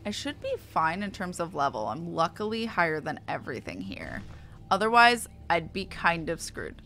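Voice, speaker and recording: A woman's voice: fairly low pitch, big pitch swings, normal speed, sharp tone, faint music behind. A woman streamer chatting casually and muttering to herself as she plays a game. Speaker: streamer playing an online fantasy game. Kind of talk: casual live gaming commentary.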